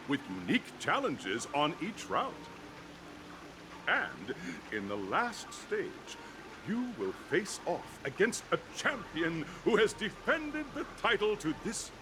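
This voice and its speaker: A man's voice: sits high, heavily acted, poor audio. A man voicing a flamboyant, effusive festival host and drink tycoon in a game, announcing in a grandiose theatrical style.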